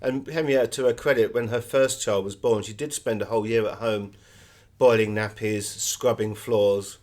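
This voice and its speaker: A man's voice: fairly low pitch, fairly even intonation, moderate pace, darkish tone. A middle-aged British man being interviewed as an author, telling anecdotes in a conversational way.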